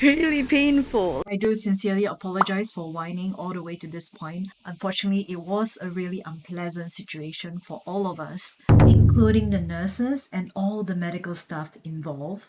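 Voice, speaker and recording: A woman with a sore throat and a fading voice, narrating a frank personal vlog on a handheld phone while waiting in a hospital, her voice often dropping low and soft. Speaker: vlogger. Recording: noisy ambience with air conditioning hum.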